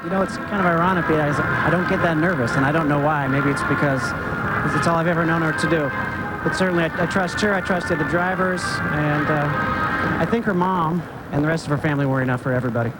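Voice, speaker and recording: A man answering interview questions in a relaxed way at a race track. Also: on the high side, fast talker. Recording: noisy outdoor track recording.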